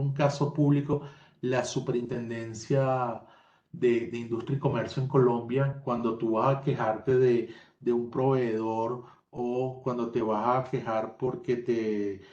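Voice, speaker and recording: A man's voice: mid-range voice, mellow. Venezuelan man explaining over a video call. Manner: explanatory, technical, self-correcting. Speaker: tech presenter. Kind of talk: webinar presentation. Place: home office in Colombia. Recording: dull, roomy webinar audio.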